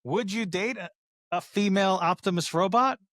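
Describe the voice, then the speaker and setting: A man co-hosting a casual talk podcast, emphatic and quick to react as he reels off figures. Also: high-pitched, a bit bright, dry recording, studio quality. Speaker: podcast co-host. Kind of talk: casual chat.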